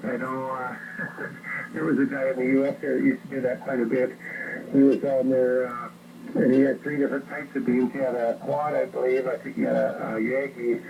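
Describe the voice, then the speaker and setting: A man's voice: medium pitch, flat delivery, normal speed, gravelly, highs cut off. A Canadian man chatting casually as an amateur radio operator, in a rambling, conversational way, heard over a radio transmission. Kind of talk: casual ham radio contact. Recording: noisy radio transmission captured by phone.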